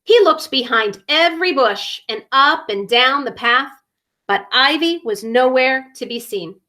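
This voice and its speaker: A woman reads a picture book to children in a high, lively voice. She takes her time and enunciates with dramatic emphasis. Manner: dramatic, deliberate enunciation with emphasized sound words. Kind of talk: expressive children's story reading.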